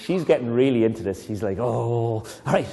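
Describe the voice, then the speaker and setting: An Irish man reading a poem aloud to children, reciting slowly and dramatically and breaking off into playful, chatty explanations. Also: mid-range voice, animated, background noise. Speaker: poetry reader leading children. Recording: long reverb in a hall.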